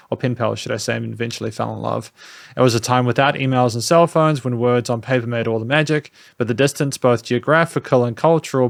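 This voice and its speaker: A man's voice: mid-range voice, dry recording, studio quality. A man giving a casual spoken music review, rambling and hesitant, often restarting his sentences. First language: not French.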